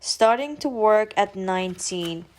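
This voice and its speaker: A woman's voice: medium pitch, animated, measured pace. A woman in her twenties from Turkey with a Turkish accent, narrating a personal vlog in an earnest, careful way with some hesitant fillers. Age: 20s. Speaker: student vlogger.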